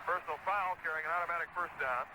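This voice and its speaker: A man's voice: a little high, quick pace. An American man calling live football play-by-play on the radio, with clipped, descriptive delivery that follows the action. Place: stadium broadcast position. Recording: noisy 1970s radio broadcast recording.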